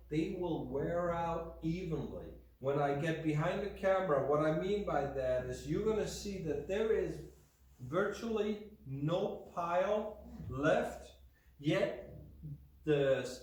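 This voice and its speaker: Slow-talking man in a very echoey room. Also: a little high, a little mellow. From Germany. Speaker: video host and Persian rug specialist. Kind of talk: unscripted product presentation. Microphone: camera mic from far away. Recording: very echoey room, distant microphone.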